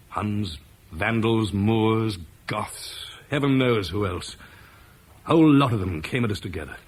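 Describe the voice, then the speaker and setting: An actor playing a weary Roman officer bringing grave news in a radio drama, speaking in a measured way. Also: male voice, on the low side, slight background noise. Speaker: Marcus, an officer. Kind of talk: 1970s BBC radio drama acting.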